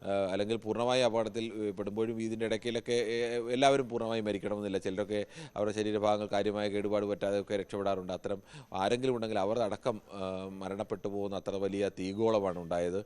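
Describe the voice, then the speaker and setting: A man's voice: on the low side, fairly even intonation, fast talker, darkish tone, smooth. A man giving unscripted live news analysis as a correspondent, explaining with frequent rephrasing.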